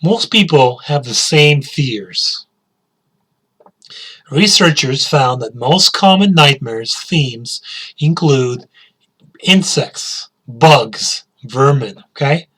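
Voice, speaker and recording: A man's voice: mid-range voice, fairly bright tone, rough recording. A male teacher giving a spoken English lesson, asking learners questions and repeating key words.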